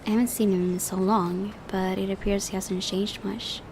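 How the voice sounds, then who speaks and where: A woman's voice: mid-range voice, little pitch movement, moderate pace, noisy background. A woman hosting a gaming video, reading visual novel narration aloud and adding casual commentary.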